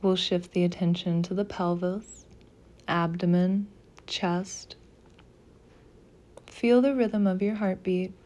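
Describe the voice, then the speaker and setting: A woman leading a guided meditation as a scripted practice, speaking softly and gently in deliberate phrases with pauses. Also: fairly low pitch, fairly even intonation.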